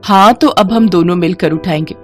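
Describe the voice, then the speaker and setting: A woman's voice: lowish voice, normal speed, faint music behind, close to an everyday voice. A woman voicing a determined young rich bride who speaks eagerly, mixing in English words. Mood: pleading.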